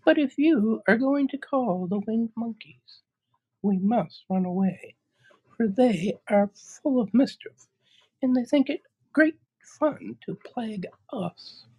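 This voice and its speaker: A woman voicing a tiny, kindly mouse queen in a squeaky little voice. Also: animated, slow, darkish tone, husky, heavily acted, rough recording.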